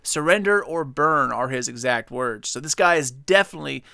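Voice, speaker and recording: A man's voice: mid-range voice, expressive, moderate pace, gravelly, pushed and bright, clean tone, dry recording, clean studio sound. A young man hosting a gaming channel, chatting enthusiastically and speculating as he breaks down a trailer. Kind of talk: casual video commentary.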